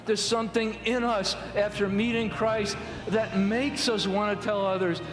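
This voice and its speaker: A man's voice: high-pitched, flat delivery, unhurried. A man preaching with passion in an echoey hall, with music and crowd sound behind. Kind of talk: impassioned preaching.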